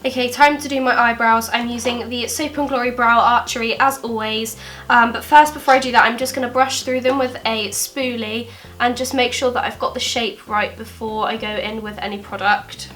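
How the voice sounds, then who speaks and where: Young woman, bright voice, a bit high, stuffy from a cold, chatty tutorial vlog. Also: steady tone, moderate pace, faint music behind. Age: young adult. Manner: chatty, friendly, many ums. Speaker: beauty vlogger. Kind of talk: makeup tutorial. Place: bedroom desk indoors.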